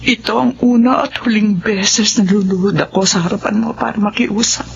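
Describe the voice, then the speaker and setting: Woman playing a pleading, gravelly-voiced devoted wife. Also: mid-range voice, fairly even intonation, normal speed, slight background noise, close to an everyday voice. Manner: earnest, tearful pleading then warm and grateful. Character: pleading devoted wife and mother, middle-aged. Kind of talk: Filipino radio drama acting. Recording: muffled radio broadcast audio.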